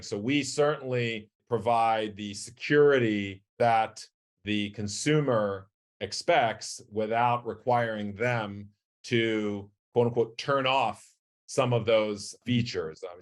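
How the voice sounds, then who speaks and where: A middle-aged man giving measured, articulate explanatory answers as an executive guest in a business interview. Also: fairly low pitch. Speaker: company chief executive, podcast guest.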